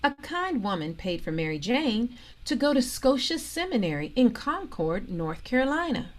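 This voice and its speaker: A woman reading a children's storybook aloud in an expressive, deliberate way, pausing for emphasis. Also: on the high side. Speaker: storybook reader, organisation president.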